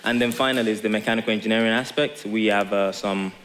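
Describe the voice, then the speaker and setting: A man from Nigeria gives answers as an interview guest on a live stage. He talks quickly in a fairly low voice, with hesitant pauses between bursts. There is a faint hiss on the recording. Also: steady tone, fairly bright tone. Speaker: guest tech company founder. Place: theatre stage before an audience.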